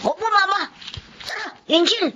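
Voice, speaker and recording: A woman's voice: extremely high pitch, a little slow, bright, forceful tone, full character voice. A woman voicing a cheerful, obedient little boy in a drama, with a Filipino accent. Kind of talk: Filipino melodrama child role. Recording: noisy drama recording.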